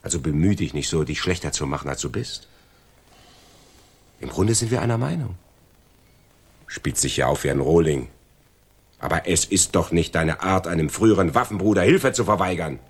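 Low husky loyal crook, animated. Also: male voice, moderate pace, pauses often, heavily acted. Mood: coaxing. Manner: earnest, probing, persuasive. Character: loyal, persistent male crook pleading for his jailed friend. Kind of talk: German radio crime drama acting.

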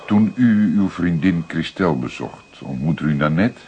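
Very deep, husky detective. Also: male voice, lively intonation, unhurried, mellow, dull audio, heavily acted. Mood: probing. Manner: soothing, probing, short questions. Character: calm, patient male police detective questioning gently. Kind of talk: Dutch radio play acting.

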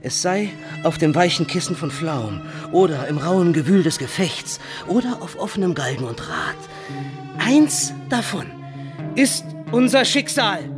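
A man's voice: on the high side, sing-song, a little slow. An actor playing a betrayed, embittered young nobleman turned robber captain in a German radio play, impassioned and declamatory. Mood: solemn, defiant. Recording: dramatic music under the voice. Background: music.

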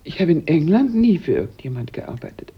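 A woman's voice: low voice, sing-song, a little fast. An actress playing a guarded female household employee in a German radio drama, curt and defensive. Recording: dull, faintly noisy radio recording.

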